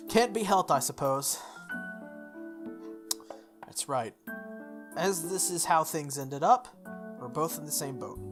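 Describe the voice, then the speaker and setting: A let's player of unclear sex reading a visual novel aloud, animated, breaking off for casual asides. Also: mid-range voice. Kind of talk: gameplay commentary and dramatic read-aloud. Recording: music behind the voice. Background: music.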